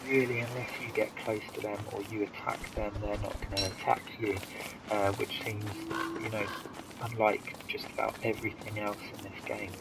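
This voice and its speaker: A man's voice: fairly low pitch, rough recording. A young man commentating his game in a casual, hesitant way, a bit stuffy from hay fever. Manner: hesitant, many ums and restarts. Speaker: gamer commentating his own play. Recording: loud game music behind.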